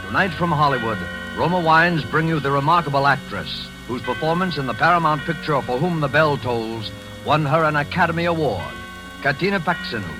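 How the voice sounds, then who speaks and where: A man announcing and narrating a 1940s radio drama with a measured, dramatic delivery. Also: on the high side, poor audio. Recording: old radio broadcast over music.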